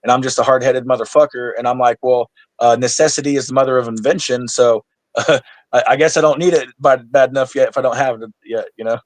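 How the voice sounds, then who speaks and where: A man's voice: medium pitch, fairly even intonation, a little fast, no room sound. Blunt, swearing man near forty, dry sound. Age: 30s. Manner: blunt, swears freely, stammers on restarts. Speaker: guest. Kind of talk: casual conversation.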